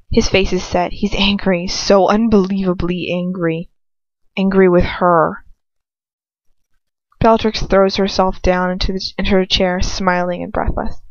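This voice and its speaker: A woman reading a story aloud as an amateur narrator, expressive and informal. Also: medium pitch, vocal fry, no echo. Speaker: amateur audiobook reader. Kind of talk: dramatic fan fiction narration with casual asides. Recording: dull lo-fi home recording.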